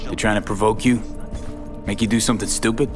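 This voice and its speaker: An actor playing a streetwise, sardonic young mercenary with an American accent in a video game. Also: male voice, on the low side, flat delivery, fast, music in the background, natural voice. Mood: probing.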